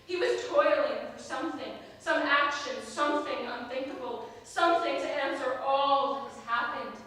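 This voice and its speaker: A woman acts a distraught messenger from a royal house in ancient Greek tragedy. The voice is high and bright, intense and declamatory. It carries from far off across a heavily echoing stage hall. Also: moderate pace. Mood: mounting horror. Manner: intense, declamatory, vividly dramatic. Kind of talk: theatrical monologue, classical tragedy stage acting. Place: college theatre stage, distant microphone.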